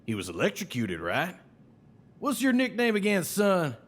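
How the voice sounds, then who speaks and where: A man's voice: high-pitched, huge pitch swings, normal speed, exaggerated voice. An actor playing a brash, sly, folksy salesman with an American Southern accent and needling, colourful idioms in an audio drama. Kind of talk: scripted audio drama acting.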